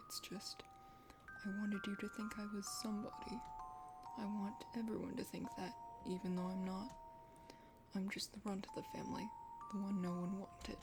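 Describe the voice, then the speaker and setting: A woman voicing a contrite, insecure young dragon prince in a soft, breathy ASMR game reading. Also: medium pitch, natural voice. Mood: ashamed, confessing. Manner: earnest, self-deprecating. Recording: soft music behind.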